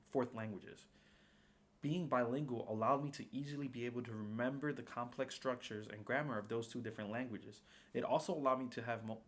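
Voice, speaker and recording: A young man, a native Spanish speaker, delivering a prepared persuasive speech for a class in a formal, read-aloud manner. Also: mid-range voice. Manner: formal, reading prepared remarks.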